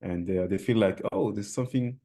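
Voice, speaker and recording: A soft-spoken man with a slight foreign accent answers haltingly and restarts his phrases. His voice is deep and mellow. He sounds modest and thoughtful. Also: creaky. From Switzerland. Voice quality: low voice. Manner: hesitant, many restarts, soft-spoken, laughs shyly. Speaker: musician guest. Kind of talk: interview answers.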